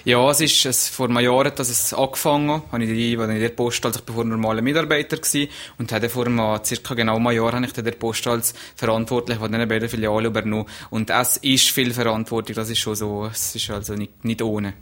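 Young Swiss German man, radio guest, halting and self-correcting. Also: mid-range voice, flat delivery, a bit bright. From Switzerland. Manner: hesitant, many restarts. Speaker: interview guest. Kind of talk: casual radio interview.

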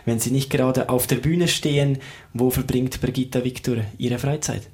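A man's voice: mid-range voice, steady tone, moderate pace, darkish tone. A man hosting a radio interview, asking polite, formal, wordy questions. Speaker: radio show host.

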